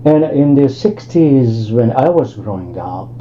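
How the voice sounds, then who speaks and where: A man's voice: mid-range voice, lively intonation, measured pace, mellow, slight background noise. An older man from Tanzania giving an academic lecture over a video call, speaking discursively with many asides and restarts. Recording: lo-fi online call audio.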